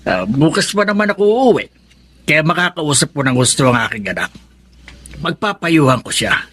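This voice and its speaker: An actor playing a kindly, concerned older father-in-law in a Filipino radio drama, with a husky voice. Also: a little high, sing-song, normal speed, a bit bright.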